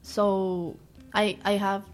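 Slow, halting young woman with a Colombian accent. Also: medium pitch, flat delivery. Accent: Colombian Spanish. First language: Spanish. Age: young adult. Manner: halting, searching for words, many restarts. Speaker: university student guest. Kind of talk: interview answers in a second language.

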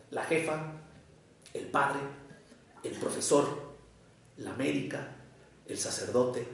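Echoey distant recording, Mexican man, gravelly voice, deliberate didactic lecturer, emphatic pauses. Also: medium pitch, slight background noise. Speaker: lecturer on psychoanalysis. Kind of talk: prepared public lecture. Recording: echoey room, distant microphone.

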